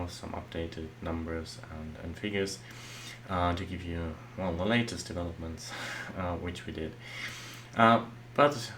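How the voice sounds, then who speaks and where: A man who grew up speaking German, a software architect giving a relaxed, loosely worded talk over his slides, with many fillers. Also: sits low, a little hiss or hum.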